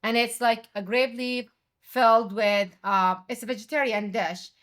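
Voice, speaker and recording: A middle-aged woman from Jordan, a restaurant owner and chef, speaking English as an in an animated, conversational interview. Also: fairly high pitch, moderate pace. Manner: animated, quotes others with raised voice.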